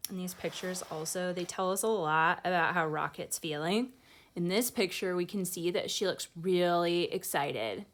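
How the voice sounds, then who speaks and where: A woman reading a picture book aloud like a teacher, expressively, with casual asides explaining feelings to young listeners. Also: lowish voice.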